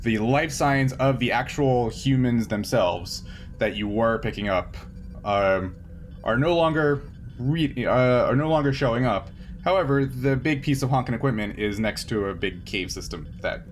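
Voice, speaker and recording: A man's voice: medium pitch, measured pace. A man running a tabletop game as game master, narrating in a casual, improvised, conversational way. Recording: faint background noise.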